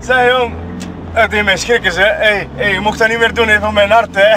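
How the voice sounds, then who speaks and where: A man's voice: sits high, steady tone, normal speed, crowd noise, natural voice. An actor playing a jittery, jovial Flemish man in an audio drama, speaking informally and hesitantly. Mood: startled, jovial. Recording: noisy outdoor street ambience with traffic.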